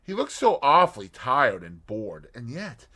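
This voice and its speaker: A man voicing an eager, excitable young woman adventurer in an audiobook, breathless and quick to exclaim. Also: medium pitch, pitched above the performer's own voice, exaggerated voice. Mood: excited. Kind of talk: audiobook character voice.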